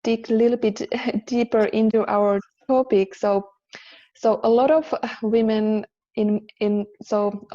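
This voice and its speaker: A woman's voice: medium pitch, steady tone, unhurried, warm tone, muffled recording. A woman from Finland, hosting a live podcast interview in an upbeat way, with fillers and restarts. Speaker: podcast host.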